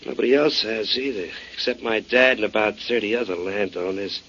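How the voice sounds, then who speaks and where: An actor playing a plain-spoken, easygoing American ground crew worker in a 1940s radio drama, on a muffled old recording. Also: male voice, fairly low pitch, brisk, sharp tone, slight background noise. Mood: folksy, resigned.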